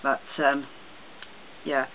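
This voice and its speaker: A man giving a casual, halting show-and-tell product review for a vlog. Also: medium pitch, monotone. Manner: hesitant, halting delivery. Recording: tinny phone-like sound, loud noise, clicks.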